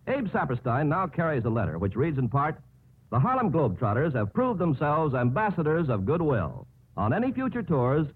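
American male radio announcer, scripted, higher-pitched, animated delivery, thin 1950s broadcast recording. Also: narrow, tinny audio, a little hiss or hum.

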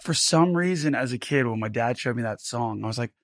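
A man co-hosting a podcast, chatting in an easygoing way with a raspy voice. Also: medium pitch, lively intonation, brisk, dry recording, studio quality. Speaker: podcast co-host. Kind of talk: casual chat.

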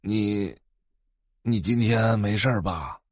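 A man playing a concerned elderly grandfather of a rich family in an audio drama, halting and solicitous. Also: fairly low pitch, no room sound, clean studio sound.

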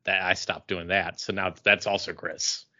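A man's voice: sits low, flat delivery, moderate pace, pushed and bright, highs cut off. A man co-hosting a podcast in casual conversation, joking in a self-deprecating way. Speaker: podcast co-host. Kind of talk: casual chat.

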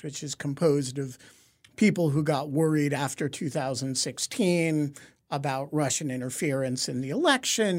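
A middle-aged man, hosting a casual interview in a halting, wry, informal way. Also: on the high side. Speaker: podcast host, interviewer.

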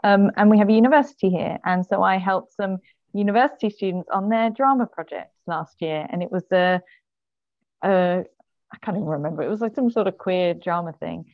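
A woman of middle pitch tells her story in a friendly, chatty way as a podcast guest. Her voice comes through dull and lo-fi, with no room sound at all. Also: fairly quick, dry recording. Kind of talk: casual conversation.

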